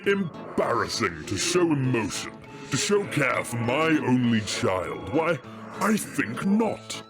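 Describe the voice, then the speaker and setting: A man performs a dragon king for an audio roleplay, with swooping intonation and an unhurried delivery. He is regal and grave when giving orders, then gushes and frets as a doting father. Loud orchestral music plays behind him. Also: mid-range voice, big pitch swings, voice pushed up. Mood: fussy, fretful. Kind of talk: audio roleplay drama acting.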